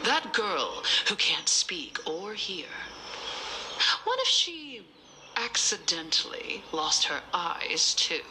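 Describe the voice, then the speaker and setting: An actor voicing a mocking, sadistic villain in a video game, with theatrical, drawn-out taunts over music. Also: mid-range voice, big pitch swings, background noise. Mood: cruel taunting. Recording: music under the voice. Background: game soundtrack music.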